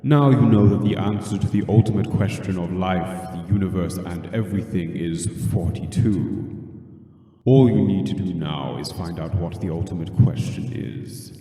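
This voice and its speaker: A man playing a vast, ancient supercomputer in an amateur radio play, speaking gravely and with ponderous superiority. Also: low voice, moderate pace, dark tone, soft background music, lightly acted. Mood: superior, grandiose. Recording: distant, long hall-like reverb.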